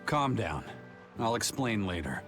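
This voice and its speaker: An actor playing a steady, frank pirate first mate in a video game, plain-spoken and commanding. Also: male voice, lowish voice, measured pace, over loud music.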